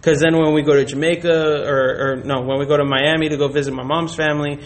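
A man answering as an interview guest in a casual chat, thinking aloud in hesitant, halting phrases. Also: on the high side, level tone. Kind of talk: casual chat about personal experience.

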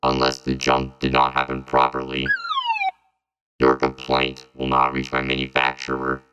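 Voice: monotone, moderate pace, over loud music. An actor playing a formal, emotionless time-traveling robot in an audio drama, with an electronically processed voice. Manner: formal, literal, emotionless delivery. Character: time-traveling robot sent from a ruined future, coolly formal. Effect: robot voice processing, pitched very low.

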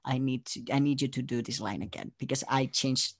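A man from Spain, chatting casually as an interview guest and telling stories in an animated way. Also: mid-range voice, fairly quick. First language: Spanish. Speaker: interview guest, audio drama creator and voice actor.

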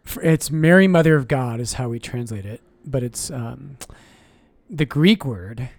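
Relaxed priest, dry mic. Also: male voice, medium pitch, moderate pace, dry recording. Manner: relaxed, explains doctrine with jokes and self-corrections. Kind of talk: casual podcast chat.

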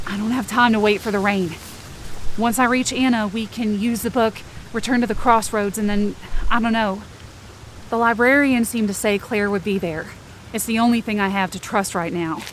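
This is a woman narrating a first-person fiction podcast in a tense, searching inner voice. Her pitch sits in a middle range. Faint background noise runs underneath. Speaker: narrator and lead performer.

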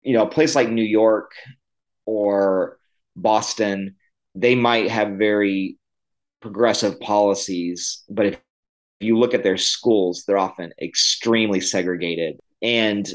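A man's voice: on the low side, steady tone, slow pace, rough recording. A man who grew up in Illinois, a guest on a podcast, talking loosely with many "um"s and "like"s and a shifting pace. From Midwest, Illinois. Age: millennial. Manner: conversational, many fillers, uneven pace. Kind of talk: podcast interview.

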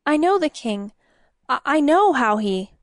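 A woman's voice: fairly high pitch, measured pace, no room sound, natural voice. Storybook knight, voiced by a woman: young, sincere, nervous, urgently entreating, dry close sound. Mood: stammering protest. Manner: pleading, urgent. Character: earnest, anxious young knight, pleading and stammering.

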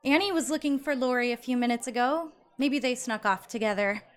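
A woman's voice: on the high side, brisk, close to an everyday voice. A woman playing a snobbish, gossipy rich teenage girl in a modern audio drama, haughty and catty. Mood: catty, disdainful.

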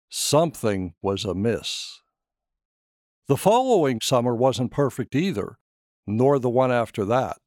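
A man narrates a short story at a slow, deliberate pace. His intonation moves freely and expressively, and the recording is close and dry. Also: medium pitch, darkish tone, dry recording. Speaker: author reading his own short story.

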